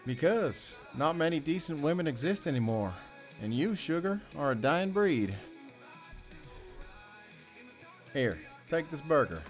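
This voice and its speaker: A man playing a weary, soft-hearted ex-convict handyman in an audio drama, talking in a measured, folksy way with long pauses. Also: fairly high pitch, sing-song, soft background music. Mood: gently teasing.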